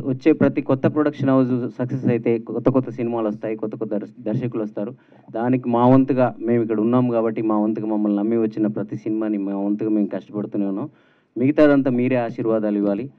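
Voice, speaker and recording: A male guest offers good wishes in a film launch speech. His voice is fairly low and stays flat in pitch. It comes through thin and phone-like. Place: film launch event, at a microphone. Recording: distant, phone-like tinny PA sound.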